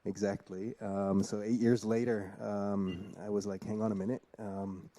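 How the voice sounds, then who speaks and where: A man from Switzerland speaking as a panelist on a conference panel, measured and with frequent ums. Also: on the low side, level tone, warm tone.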